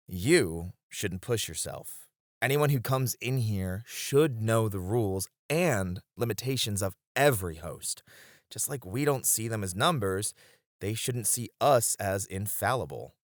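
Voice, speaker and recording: Supportive, earnest lounge host for ASMR roleplay, played by a man: playful, very lively pitch, dry recording. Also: no room sound. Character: supportive, playful male lounge host looking after a colleague. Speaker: voice actor.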